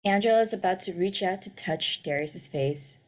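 A woman's voice: mid-range voice, normal speed. A young woman reading screenplay stage directions as narrator over a video call. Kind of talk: scripted narration, screenplay table read. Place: indoor room on a video call. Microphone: video call microphone.

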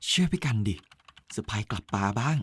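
A man's voice: medium pitch, animated, quick pace, fairly bright tone, husky. A man playing a scheming, playful grown-up elder son in an audio drama, casual and cajoling. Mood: mischievous. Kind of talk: Thai audio drama acting.

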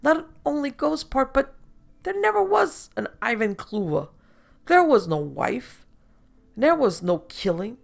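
A woman's voice: sits high, sing-song, unhurried, creaky, voice pushed up, heavily acted. A woman voicing a drunk, leering male showman who talks in clipped, slurred bits with a wheezy voice. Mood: gloating.

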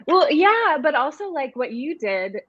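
A woman's voice: sits high, big pitch swings, measured pace, a bit bright. A woman in casual panel conversation as a theatre artist, recorded on a slightly dull video call in a book-lined room.

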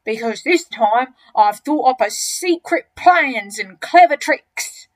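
A woman voicing an enormous, greedy, boastful male crocodile in a children's storybook, with gloating, drawn-out emphasis. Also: on the high side, lively intonation, low-quality recording. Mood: boastful, scheming. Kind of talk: children's storybook character reading.

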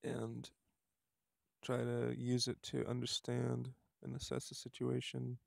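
A man leading a guided meditation outdoors, speaking softly and haltingly, with unhurried instructions and long pauses. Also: mid-range voice, monotone, vocal fry, no room sound, studio quality.

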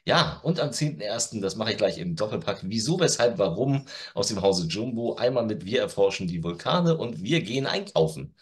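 Male co-host, middle-aged, lowish voice, casual and wry, for an entertainment release roundup. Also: lively intonation, normal speed, hardly any pauses. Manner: wry, joking. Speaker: co-host of an audio drama news show. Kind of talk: casual chat. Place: room at home.

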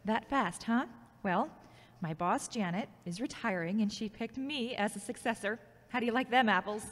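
A woman playing a confident, ambitious radio journalist with a teasing, warm manner in an audio drama. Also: a little high, moderate pace, lightly acted. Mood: excited. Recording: echoey hall-like reverb.

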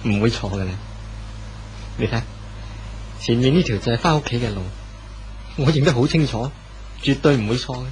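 A man acting as a gentle, protective romantic lead speaks in a medium-pitched voice with a Hong Kong Cantonese accent. He is steadfast, reassuring and unhurried, in old radio drama style over faint background noise. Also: lightly acted, poor audio.

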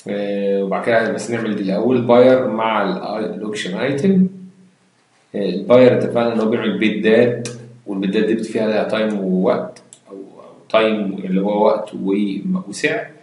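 Fast-talking Egyptian Arabic instructor, echoey room. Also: male voice, on the low side, fairly even intonation, darkish tone. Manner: explains step by step, mixing English terms, asks rhetorical questions. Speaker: computer science instructor. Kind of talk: screen-recorded lecture.

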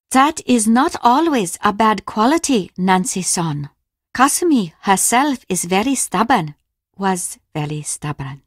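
A woman's voice: a little high, no echo, studio quality. A woman voicing a courteous, grieving older Japanese mother in a video game, speaking formally and carefully. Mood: wistful, apologetic. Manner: formal, measured, careful delivery. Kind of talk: scripted game dialogue.

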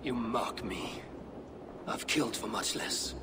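A man's voice: on the low side, slow pace, noisy background. A man acting a blunt, impatient medieval warrior in a video game, with a brooding manner. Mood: menacing.

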